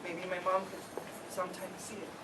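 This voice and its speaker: A woman's voice: fairly low pitch, little pitch movement, normal speed, natural voice. A woman playing an awkward, eager, earnest classmate in an amateur stage play reading, theatrical in places. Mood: sincere, wistful. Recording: distant mic, clearly noisy live room.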